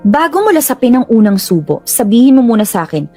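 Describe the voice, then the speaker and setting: An actress playing a bossy, excitable grown daughter in a radio drama, speaking emphatically. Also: female voice, a little high, quick pace, soft background music. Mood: bossy, accusing. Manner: emphatic, mock-interrogating.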